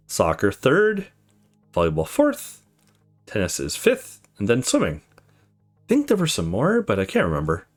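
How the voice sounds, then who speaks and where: Low-voiced, animated man doing gaming commentary. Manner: informal, sweary, reacts off the cuff. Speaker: let's play host reading a visual novel aloud. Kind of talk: casual gaming commentary mixed with reading dialogue aloud.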